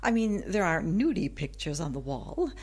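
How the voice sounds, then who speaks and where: Older female radio drama character, slightly low pitch, animated intonation, wordy, wry, wistful and mischievous. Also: normal speed, slightly rough. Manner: wordy, wry, old-fashioned phrasing. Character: smitten, wistful older woman with a mischievous streak. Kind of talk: audio drama acting.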